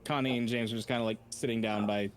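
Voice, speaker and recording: A man's voice: medium pitch, steady tone, fast talker, a little hiss or hum. Guy player explaining what his character does, lots of likes and uhs, mid voice. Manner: rambling, filler-heavy. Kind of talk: describing his character's actions.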